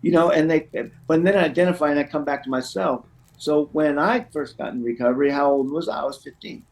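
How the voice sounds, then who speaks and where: Man, middle-aged, higher-than-average voice, rambling conversational delivery, recovery counselor as guest. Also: fast. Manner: rambling, repeats "you know". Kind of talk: conversational interview, sharing personal anecdotes.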